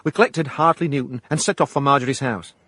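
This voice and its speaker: An actor with a Yorkshire accent playing an eager, defensive novice private eye, husky, wry and deadpan, in a radio drama. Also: male voice, mid-range voice, fast, dry recording. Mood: wry narration. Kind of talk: radio drama dialogue and narration.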